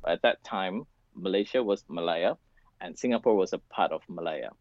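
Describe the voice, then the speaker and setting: A man's voice: low-pitched, normal speed, a little mellow, no echo, lo-fi sound. A man being interviewed, explaining history hesitantly with fillers.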